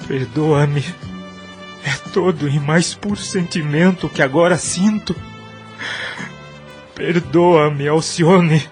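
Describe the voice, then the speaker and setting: A man's voice: a little high, slow, long pauses, darkish tone, soft background music. An actor playing an emotive young man in love in an audio drama, with a Brazilian accent and formal old-fashioned phrasing. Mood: remorseful. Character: wounded, reproachful young man in love, former priest. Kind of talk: radio drama acting.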